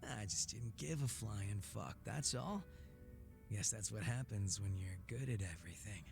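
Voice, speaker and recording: An actor playing a cocky, laid-back former gang boss in a video game, teasing and sardonic with a languid drawl and casual swearing. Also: male voice, on the low side, a little slow, creaky, soft background music. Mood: candid, boastful. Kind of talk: English video game voice acting.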